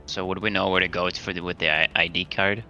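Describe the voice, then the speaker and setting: A man's voice: deep voice, flat delivery, fast talker, sharp tone, highs cut off, soft background music. A man chatting casually as a player in a tabletop roleplaying game.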